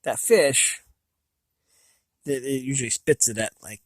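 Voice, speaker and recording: An American man hosting a casual, unscripted review, thinking aloud in a halting, rambling way with a slightly raspy voice. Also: medium pitch, dry recording, clean studio sound. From United States. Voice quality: slightly raspy from long talking. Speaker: video host.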